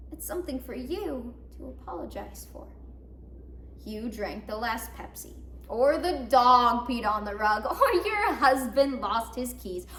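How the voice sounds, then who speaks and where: Young woman acting a bitter, high-voiced asylum patient. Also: creaky, full character voice. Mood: sarcastic, exasperated. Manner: sardonic, theatrical, emphatic, swinging from hushed to loud. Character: bitter, wry woman patient confined in a mental hospital. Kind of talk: dramatic monologue, competition interpretation. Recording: roomy echo, faint noise, distant mic.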